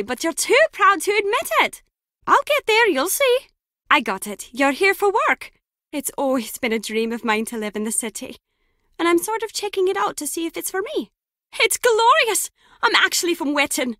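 An actress playing a nosy, awkward, excitable young woman in a video game, chatty and blunt. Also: sits high, unhurried, no echo, studio quality, full character voice. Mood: excited, challenging.

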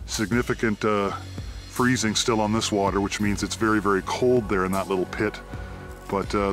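A man's voice: lowish voice, a bit bright. Canadian man narrating a forest walk. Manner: thoughtful, pauses often with "uh". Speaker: abandoned mine explorer. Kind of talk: walking narration while exploring. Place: outdoors in a forest.

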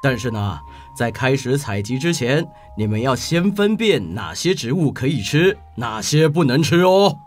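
A man acts out a proud ant father from a children's storybook, loudly addressing his young. His delivery swings with lively pitch, and faint music plays underneath. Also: moderate pace, few pauses, close to an everyday voice. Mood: proud, announcing. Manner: loud, announcing.

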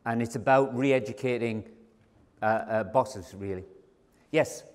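A man's voice: mid-range voice, unhurried. Older man giving a hall keynote. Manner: conversational, emphatic, addresses the audience directly. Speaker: keynote speaker. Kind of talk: conference keynote talk. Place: large conference hall with seated audience. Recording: long hall reverb.

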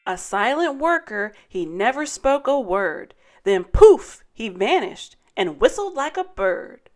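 A woman hosting story time, reading a rhyming children's picture book aloud in a dramatic, deliberate way. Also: fairly high pitch, sing-song. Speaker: story time host and narrator.

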